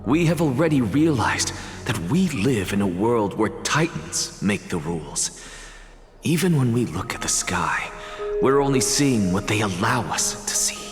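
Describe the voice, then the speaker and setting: A man voicing a defiant young male student in a video game, speaking in a measured, scornful, resolute way. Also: fairly low pitch, big pitch swings. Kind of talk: dramatic video game voice acting. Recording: long hall reverb, music behind. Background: music.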